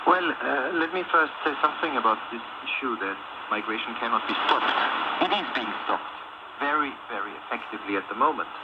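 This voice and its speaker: A man's voice: mid-range voice, animated, brisk. A man speaking as a guest in a radio panel discussion, arguing his policy points emphatically and repeating phrases for stress. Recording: muffled shortwave radio, heavy static noise. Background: loud shortwave static.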